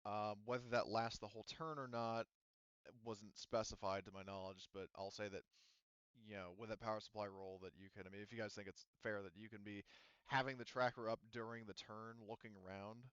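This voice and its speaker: A man with a low, clean-toned voice narrates a tabletop game as its game master. He explains in a casual, meandering way. The recording is dry and a little dull. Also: fairly even intonation, a little fast, a bit bright, clean tone, no echo. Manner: rambling, explanatory.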